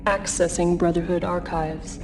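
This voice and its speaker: A woman's voice: fairly low pitch, fairly even intonation, a little slow, distant mic. An actress playing an impassive female military computer announcer in a video game, reading briefings in a clipped, formal way. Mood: solemn, measured. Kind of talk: scripted mission briefing narration. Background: music.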